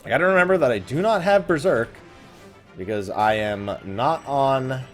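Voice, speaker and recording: A man's voice: medium pitch, animated, normal speed, soft background music. A man giving casual let's play commentary on a video game, swearing and griping with sarcasm. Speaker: host of a gaming let's play series.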